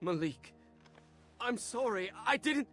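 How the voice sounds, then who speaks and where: Earnest young prince, music behind. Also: male voice, very high-pitched, animated, takes it slowly, a bit bright, full character voice. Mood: anguished. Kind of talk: dramatic video game acting and narration. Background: cinematic music.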